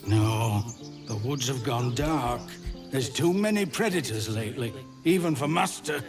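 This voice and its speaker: An actor voicing an anxious, plaintive animal companion missing its master in a video game. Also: male voice, medium pitch, animated, unhurried, over music. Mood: anxious, forlorn.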